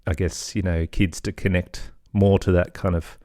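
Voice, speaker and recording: A middle-aged man talking casually and reflectively as a podcast guest, thoughtful and tentative, often softening what he says. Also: low voice, level tone, normal speed, no echo, studio quality. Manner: hesitant, thoughtful, hedging with softeners. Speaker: podcast guest, children's audio storyteller. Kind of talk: casual reflective interview talk.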